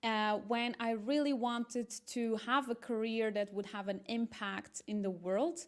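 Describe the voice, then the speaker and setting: A woman's voice: on the high side, steady tone, measured pace. A woman in her thirties from Portugal, speaking English as an eager, earnest interview guest, with small restarts. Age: 30s. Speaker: guest, marketing entrepreneur. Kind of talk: casual interview talk.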